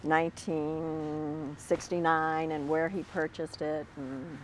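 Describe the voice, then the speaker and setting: A woman's voice: sits low, flat delivery, takes it slowly, slight background noise. A woman speaking off the cuff in an interview outdoors in a garden. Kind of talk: unscripted interview.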